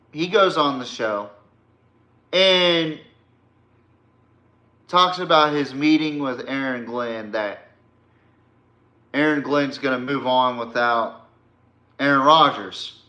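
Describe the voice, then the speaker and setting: Blunt, bright-voiced man in an echoey room. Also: mid-range voice. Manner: blunt, slangy, profane; emphatic, addresses his subject directly. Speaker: sports podcast host. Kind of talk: opinionated sports rant.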